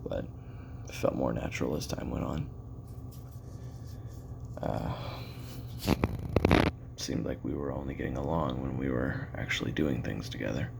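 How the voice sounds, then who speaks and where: A man playing a weary, regretful ex-assassin in an audio roleplay, recording his memoirs in a halting, confessional, musing way with a gravelly voice. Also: a bass voice, slight background noise, heavily acted. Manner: halting, musing, trailing pauses and self-corrections.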